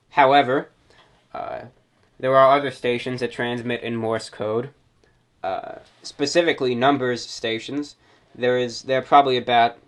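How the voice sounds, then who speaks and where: Male radio show host explaining things. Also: mid-range voice. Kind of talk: informal explanatory presentation.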